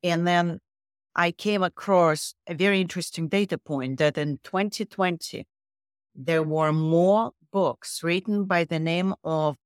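Middle-aged woman, low voice, foreign accent, emphatic interview guest, dry sound. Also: a little slow, clear, resonant tone, dry recording. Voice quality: low voice with a non-native accent. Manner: emphatic, leans on facts and figures. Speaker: guest author. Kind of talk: interview conversation.

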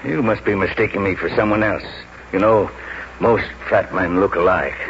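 A man's voice: low voice, normal speed. An American actor playing a huge, slyly sinister middle-aged man in a 1940s radio drama, dry and evasive. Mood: guarded, gruff. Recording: dull, noisy 1940s radio recording.

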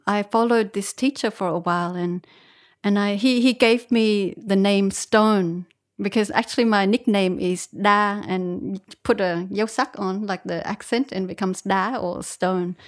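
Female guest, nun from Vietnam raised in Australia. Reflective personal storytelling, halting delivery, mid-range voice. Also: medium pitch. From born in Vietnam, grew up in Australia from age eight. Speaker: podcast guest, Buddhist nun.

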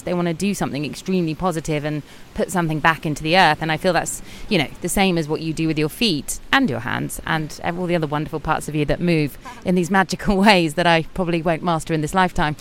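A woman with a very low voice hosts an interview, speaking eagerly and loosely with lots of fillers. She asks long, chatty questions. Faint background noise sits under her voice. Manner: enthusiastic, chatty, many fillers. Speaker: show host, interviewer. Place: outdoors on a beach.

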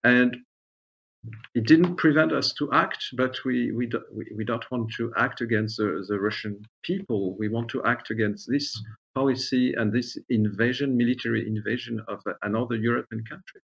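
A man with a French accent speaks in a husky, creaky voice, recorded with dull, lo-fi sound. He gives formal, diplomatic answers, pausing and repeating words as he searches for the right phrase. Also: mid-range voice, steady tone. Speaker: diplomat being interviewed. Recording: dull, lo-fi audio.

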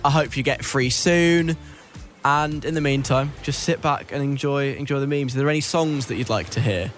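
A man's voice: medium pitch, normal speed, soft background music. A young British man hosting a radio show in a conversational, wry, chatty style.

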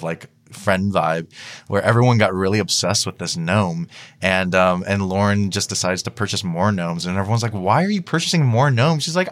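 Low-voiced man in rambling casual chat. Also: a bit bright. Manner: rambling, peppered with "like". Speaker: podcast guest.

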